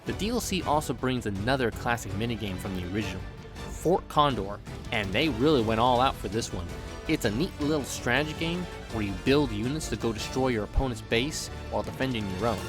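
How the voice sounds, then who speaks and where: Guy doing a jokey scripted game review, mid pitched voice, music playing behind him. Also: animated, fairly quick. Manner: playful, jokey. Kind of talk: scripted review narration with joking asides. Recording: background music under voice.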